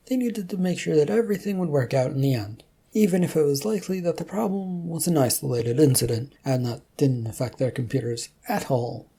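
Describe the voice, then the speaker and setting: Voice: medium pitch, warm tone, breathy edge. A narrator reading a scripted story aloud, with slight stumbles now and then.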